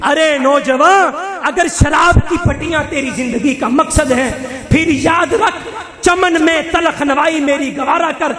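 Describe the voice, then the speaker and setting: High-pitched impassioned Punjabi-accented male preacher. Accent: Pakistani Punjabi. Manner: declamatory, repetitive rhetorical refrains and questions. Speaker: Islamic preacher. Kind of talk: impassioned religious sermon to a congregation. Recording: echoey hall with long reverb, crowd.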